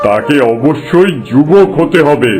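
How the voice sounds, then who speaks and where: A man's voice: on the high side, lively intonation, unhurried. A man voicing a cold, scheming demon-sorcerer father with a solemn, commanding manner in a cartoon story. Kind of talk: dramatic cartoon story dialogue. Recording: music behind the voice.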